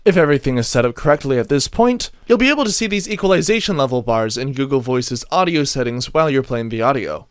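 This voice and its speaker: A man reading a scripted software tutorial as a voice-over, with a methodical, step-by-step instructional delivery. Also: mid-range voice, lively intonation, fast talker, hardly any pauses, clear, resonant tone, no echo. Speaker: tutorial narrator.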